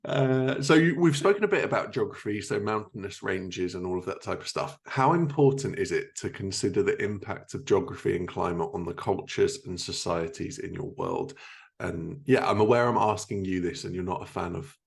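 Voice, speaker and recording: Man, podcast host, low pitch, very lively intonation, casual interviewer. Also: normal speed, vocal fry.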